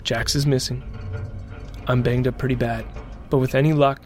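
An actor playing a wounded, haunted giant-robot pilot in a dramatic audio drama, with a deliberate, brooding delivery. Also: male voice, mid-range voice, little pitch movement, darkish tone, faint music behind, natural voice. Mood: weary, hopeful. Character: wounded male giant-robot pilot, haunted, recounting horrors, then terrified. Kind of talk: solemn slow dramatic narration, audio drama.

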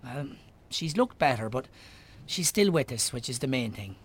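An actor playing a teasing, wry friend in a naturalistic radio drama, full of banter. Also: male voice, mid-range voice, big pitch swings, normal speed, husky. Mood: teasing, fond. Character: teasing, wry Irish local businessman and friend.

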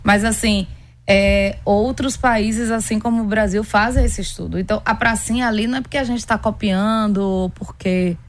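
Female interviewee, Northeastern Brazilian accent, mid-pitched voice; explanatory, conversational doctor. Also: fairly even intonation, moderate pace, slight background noise. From Pernambuco, Brazil. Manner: explanatory, uses everyday examples.

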